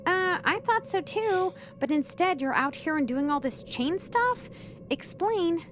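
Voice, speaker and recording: Woman acting a bubbly, high, girlish tease. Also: lively intonation, unhurried, a little hiss or hum. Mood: puzzled. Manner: chirpy, playful, girlish. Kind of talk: visual novel game voice acting.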